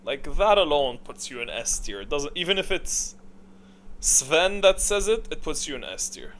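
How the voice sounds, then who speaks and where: A man's voice: on the high side, animated, normal speed, a bit bright. A man giving casual, opinionated gaming commentary, rambling and thinking aloud in a blunt way. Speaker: gaming video creator.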